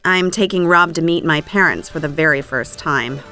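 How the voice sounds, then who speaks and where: A woman, playing a young magazine employee in a scripted language-course dialogue, chatty and lively. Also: low voice, normal speed, soft background music. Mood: cheerful.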